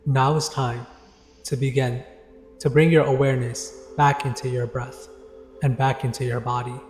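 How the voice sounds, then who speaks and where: An echoey, reverberant recording of a man guiding a meditation over music. His delivery is calm and deliberate, with little movement in pitch, leaving pauses between instructions. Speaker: meditation guide. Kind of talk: guided meditation narration. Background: background music.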